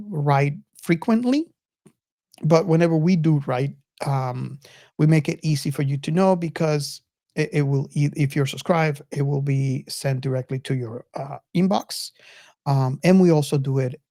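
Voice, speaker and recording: A podcast host talking casually to listeners and co-hosts, haltingly and searching for words. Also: medium pitch, dark tone, no room sound, clean studio sound.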